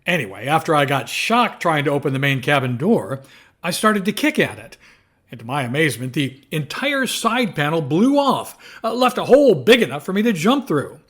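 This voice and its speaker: A man playing an excitable, incredulous newspaper reporter in an audio drama. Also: a little high, normal speed, breathy edge, full character voice. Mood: wry recounting. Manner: exclamatory, incredulous.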